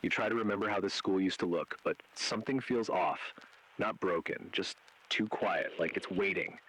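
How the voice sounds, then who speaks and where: A man playing an ominous agent who narrates an interactive horror warning in grave, deliberate, foreboding second-person script. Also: deep voice, a bit bright, husky, rough recording. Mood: eerie, hushed suspense.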